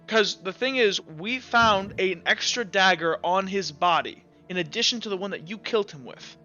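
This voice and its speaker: A man playing a skeptical, methodical courtroom prosecutor who presses with halting, repeated questions in a tabletop roleplay. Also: high voice, expressive, pushed and bright, muffled recording, soft background music. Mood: probing.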